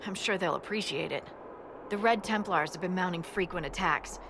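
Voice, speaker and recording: An actress playing a plain-spoken, wry army scout, reporting in a dry, matter-of-fact way in a video game. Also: female voice, on the low side, moderate pace, noisy background, lightly acted. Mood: grim, wry. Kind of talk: video game dramatic acting.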